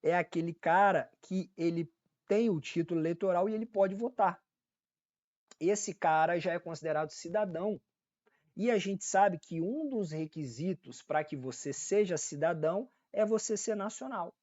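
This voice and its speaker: A man's voice: high voice, normal speed, darkish tone, no room sound, muffled recording. A man with a Brazilian Portuguese accent giving a casual online law lecture, repeating key points, checking in with his listeners and correcting his own slips. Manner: casual, repetitive teaching with frequent check-ins and self-corrections. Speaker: law teacher. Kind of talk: online exam-prep lecture.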